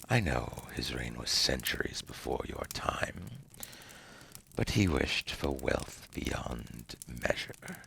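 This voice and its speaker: An ancient devil acted by a man murmurs in an extremely deep, gravel-laden voice that often drops into breathy whispers. He is slow and deliberate, smug and seductive, with silky menace and formal, theatrical phrasing. It plays as intimate ASMR roleplay. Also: a bit bright, slight background noise, heavily acted. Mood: measured, knowing. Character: seductive ancient male demon dealmaker, smug, silkily menacing.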